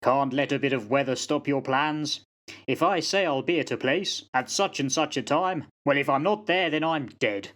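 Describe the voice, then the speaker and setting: A man's voice: medium pitch, moderate pace, a bit bright, natural voice. A man playing a fatalistic old sergeant-major in a radio play, telling yarns in a folksy, dramatic way. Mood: wry, fatalistic. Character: old soldier sergeant-major, fatalistic yarn-spinning raconteur. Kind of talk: amateur radio play acting.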